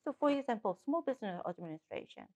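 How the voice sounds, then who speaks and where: A woman's voice: fairly high pitch, big pitch swings, fast talker, warm tone, dull audio. A middle-aged woman with a Korean accent, a native Korean speaker, answering interview questions as a political candidate with frequent restarts and fillers.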